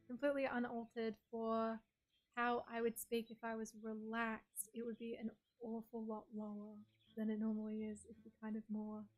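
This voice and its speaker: Soft-voiced Australian woman streamer. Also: a little high. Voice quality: soft, breathy voice. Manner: chatty, reads chat messages aloud and answers them. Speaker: game streamer. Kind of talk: casual chat with stream viewers.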